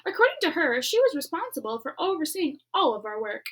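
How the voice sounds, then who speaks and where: Expressive woman reading a children's book aloud. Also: high-pitched, brisk, low-quality recording. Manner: expressive, with asides and quiet whispered beats. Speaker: teacher reading to a class.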